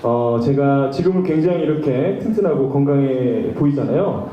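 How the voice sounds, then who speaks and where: Male stage singer, lively up-and-down delivery, chatty crowd banter, booming hall echo. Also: moderate pace, distant mic, lo-fi sound. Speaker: trot singer performing on stage. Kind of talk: stage patter with a live audience. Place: large concert hall stage before about two thousand people. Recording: echoey hall with backing music.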